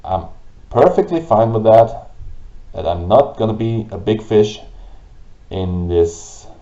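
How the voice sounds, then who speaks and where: A man with a German accent, whose first language is German, hosting a gaming channel and ranting slowly and haltingly about it to camera. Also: fairly low pitch, lively intonation, mellow. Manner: halting, with restarts and pauses. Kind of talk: frustrated rant to camera. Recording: roomy, dull lo-fi audio.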